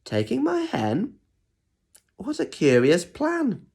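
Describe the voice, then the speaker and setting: A man voicing a fretful, grumbling little old lady in a children's picture-book reading, with a plaintive, drawn-out delivery. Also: sits high, huge pitch swings, a little mellow, husky, voice pushed up, full character voice. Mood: puzzled.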